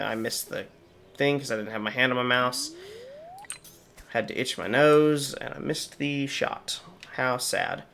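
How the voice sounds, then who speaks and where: A man's voice: medium pitch, pushed and bright. Wry man with a slightly sore voice. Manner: wry, chatty asides. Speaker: gaming channel host. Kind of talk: casual game commentary.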